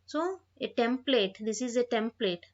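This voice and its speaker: A woman giving an instructional software tutorial, reading out points and repeating phrases as she explains them, on a dull, lo-fi microphone. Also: a little high, expressive, unhurried. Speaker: software trainer.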